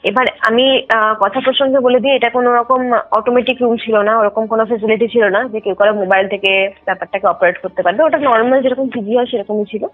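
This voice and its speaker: High-voiced young woman on a phone line. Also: fairly even intonation, fairly quick. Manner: explanatory, mixes in English words, often adds check-in tags. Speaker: caller telling a personal ghost experience. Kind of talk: casual conversational storytelling. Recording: tinny phone call with music underneath. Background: background music.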